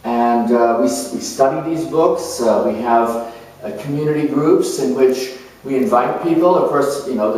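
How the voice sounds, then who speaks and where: A man playing an earnest, nurturing parent turned spiritual counselor in a dramatic monologue, speaking in halting, reflective phrases. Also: mid-range voice, a little mellow, natural voice. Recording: very echoey room, distant microphone.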